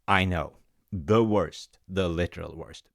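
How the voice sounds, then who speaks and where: Man, comforting dad storyteller, rambling and self-mocking, slow, dry close mic. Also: low-pitched, vocal fry, no echo. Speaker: audio roleplay storyteller playing a dad.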